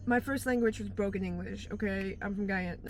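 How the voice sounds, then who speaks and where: A woman's voice: medium pitch, fairly quick, a little hiss or hum, low-quality recording. A woman giving blunt life advice in a casual, rambling monologue, recorded outdoors on a phone, often stopping to search for words. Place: outdoors in bright daylight.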